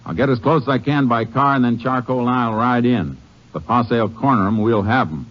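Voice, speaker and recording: A man's voice: medium pitch, a little fast, lightly acted. An actor playing a steely, resolute Texas Ranger who narrates his cases tersely, in 1950s American radio western style. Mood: terse, determined. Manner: terse, matter-of-fact. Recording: dull old radio recording with music.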